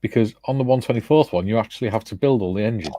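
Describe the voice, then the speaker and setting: A man's voice: on the low side, rapid-fire, warm tone, a little hiss or hum. A man hosting a casual live model-building stream, joking and reading viewer comments aloud. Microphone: phone.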